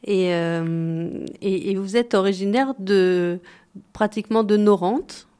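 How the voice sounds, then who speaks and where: A woman's voice: medium pitch, slow, mellow, clear, resonant tone. A woman interviewing a guest, prompting him with short questions and brief acknowledgements. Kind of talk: conversational interview.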